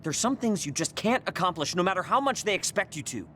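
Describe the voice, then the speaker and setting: A man's voice: high voice, sing-song, fast talker, bright, forceful tone, a little hiss or hum, exaggerated voice. An actor playing a proud, insecure young male soldier in a video game, irritable and defensive in manner. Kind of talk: English video game voice acting.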